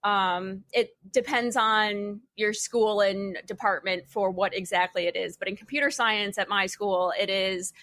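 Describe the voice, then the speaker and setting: A young adult woman chatting casually as a podcast panelist, telling things in an informal, wry and animated way. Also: medium pitch, a little slow, hardly any pauses, no echo. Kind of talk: casual chat.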